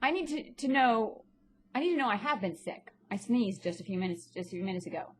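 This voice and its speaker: A woman's voice: a little high, expressive, fairly quick, slight background noise. A woman talking bluntly and indignantly in a personal vlog, sounding a little stuffy from a sinus infection. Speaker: vlogger and actress. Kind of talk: ranting personal vlog monologue.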